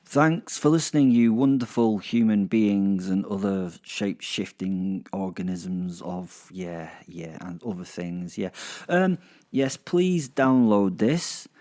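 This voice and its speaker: A man's voice: fairly low pitch, slow pace, warm tone. A man telling a story as podcast host, in an informal, rambling way. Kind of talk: first-person dramatic fantasy narration.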